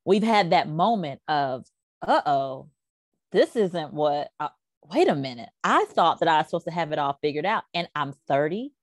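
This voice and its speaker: A middle-aged woman, a career and life coach, talking as a podcast guest in an emphatic, animated way. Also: deep voice, normal speed, dry recording, studio quality. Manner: emphatic, animated, quotes people in voices. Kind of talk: casual podcast conversation.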